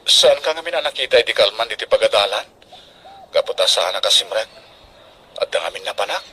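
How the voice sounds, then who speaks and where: Earnest young student suitor, bright voice. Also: male voice, lowish voice, lively intonation, a little fast, slight background noise. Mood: shy, pleading. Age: young adult. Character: eager, soft-hearted young male student suitor. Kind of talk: Ilocano radio drama acting.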